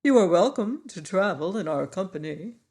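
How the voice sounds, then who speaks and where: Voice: medium pitch, expressive, moderate pace, a little mellow, close to an everyday voice. A reader voicing a polite, kindhearted male tin woodman. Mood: kindly. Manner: polite and kindly.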